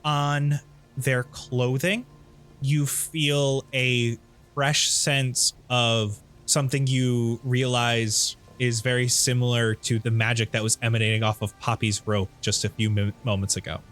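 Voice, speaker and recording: A man's voice: medium pitch, little pitch movement, unhurried, fairly bright tone, faint music behind. A man narrating a tabletop roleplaying game as the game master, describing what characters do and sense.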